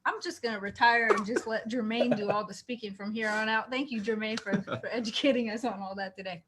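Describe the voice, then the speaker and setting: A woman's voice: fairly high pitch, fairly even intonation, fairly quick. A woman co-hosting an event in an upbeat, casual, joking and enthusiastic way. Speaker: event co-host. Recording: clearly noisy online call.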